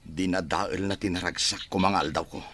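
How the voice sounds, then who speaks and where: A man's voice: deep voice, steady tone, normal speed, a bit bright, slightly rough. A man playing a troubled, moody grown son in a radio drama, speaking with a Filipino Ilocano accent. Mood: sullen, irritated.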